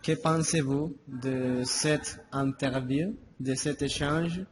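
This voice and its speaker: A man asking interview questions in slow, formal phrasing. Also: medium pitch, steady tone, slight background noise, low-quality recording. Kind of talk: reading prepared interview questions.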